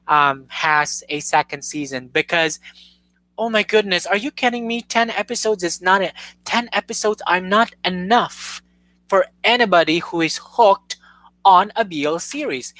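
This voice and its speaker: A young woman chats on her live stream in an earnest, gushing way, often stopping to restart a sentence. Her voice sits a little low but sounds bright and forward. The sound is dry and close. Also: no echo, poor audio. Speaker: YouTube host. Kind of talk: casual live-stream chat.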